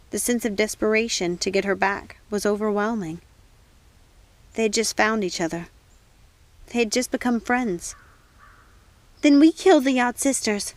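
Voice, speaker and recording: A woman's voice: medium pitch, dry recording. A woman reading scripted storybook narration as an audiobook reader.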